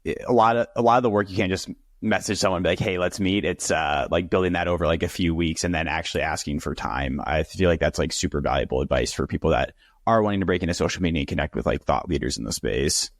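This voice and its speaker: A young man hosting an interview, chatting casually with lots of "like" and quick affirmations. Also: deep voice, dry recording, studio quality. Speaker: interview host.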